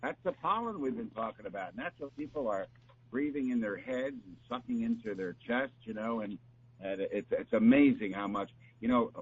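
A man talking as a doctor giving health advice, explaining in a halting, repetitive, conversational way. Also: lowish voice, slightly rough. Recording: dull, noisy line with hum.

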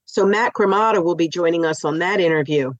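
A woman's voice: lowish voice, brisk, dry recording, muffled recording. Stuffy-voiced woman hosting a radio show. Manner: bubbly, upbeat, chatty with fillers. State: stuffy from the flu. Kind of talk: chatty live radio talk on home improvement. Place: radio studio.

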